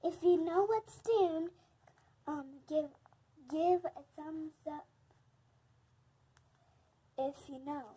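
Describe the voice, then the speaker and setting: A young girl with a very high, childlike voice hosts a picture-book read-aloud. She goes slowly and haltingly, word by word, and drops in playful little asides. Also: dark tone.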